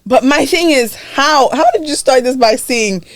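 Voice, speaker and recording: A woman's voice: sits high, measured pace, dry recording. A woman co-hosting a casual podcast, reacting emphatically and dramatically. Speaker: podcast co-host. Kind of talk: casual chat.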